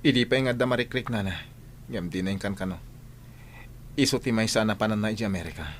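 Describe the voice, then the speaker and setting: A man acting as an earnest adult son and boss in a radio drama, speaking in a measured way. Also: lowish voice, a little hiss or hum, close to an everyday voice. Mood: concerned. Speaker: actor.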